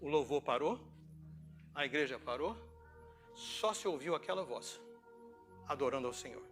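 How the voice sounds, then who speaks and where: Brazilian male pastor, conversational sermon storyteller, bright and forceful delivery, faint music underneath. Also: a little high, expressive, normal speed. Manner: conversational storytelling, acting out dialogue, asking rhetorical questions. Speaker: pastor preaching. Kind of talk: informal sermon with personal anecdotes.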